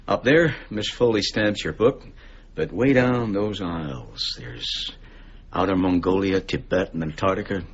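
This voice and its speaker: A man's voice: low voice, expressive, a little slow, slightly rough, full character voice. A man playing a weary, tender, bookish ageing father in a radio drama, musing and melancholy. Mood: fond, musing. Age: middle-aged. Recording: muffled, noisy radio drama recording.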